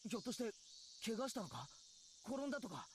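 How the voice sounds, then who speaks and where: An actor playing a worried, hesitant teenage schoolboy in a Japanese visual novel. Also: male voice, extremely high pitch, sing-song, rapid-fire, a little hiss or hum. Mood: startled, worried. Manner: hesitant, exclamatory. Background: game music, insect sounds.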